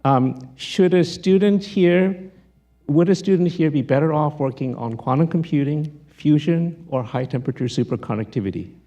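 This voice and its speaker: A man's voice: on the high side, normal speed, dark tone. A man putting spontaneous questions to a speaker in a panel discussion.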